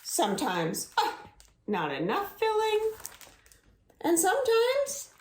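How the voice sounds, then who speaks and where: A woman librarian reading a picture book and singing for young children at home, slow and coaxing, inviting them to join in. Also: extremely high pitch, wildly swooping pitch, echoey room, a little hiss or hum. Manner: slow, singsong and coaxing, asks the listeners to join in.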